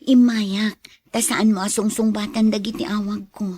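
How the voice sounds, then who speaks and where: A woman's voice: medium pitch, level tone, moderate pace, mellow, dry recording, close to an everyday voice. A woman acting a troubled young daughter in love in a radio drama. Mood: concerned.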